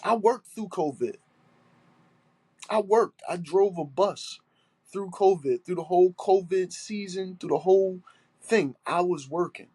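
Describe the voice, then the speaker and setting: A man's voice: sits high, a little slow, dark tone, husky, no room sound. A man with a stuffy nose, hosting a solo podcast and talking in an aggrieved, emphatic way, repeating his points and swearing. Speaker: podcast host.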